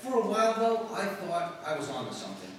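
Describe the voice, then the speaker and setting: A man's voice: high-pitched, big pitch swings, brisk. A man playing a wisecracking newspaper reporter in 1940s radio drama, casual and wry. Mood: resigned. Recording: echoey hall, distant mic, faint noise.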